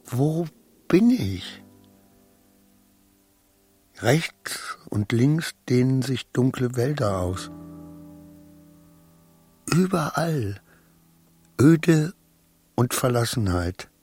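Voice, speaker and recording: An actor playing an anxious, paranoid clerk losing his mind in a radio play, halting and often dropping to a whisper. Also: medium pitch, lively intonation, darkish tone, husky, faint music behind, heavily acted. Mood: haunted dread. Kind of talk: literary radio play acting.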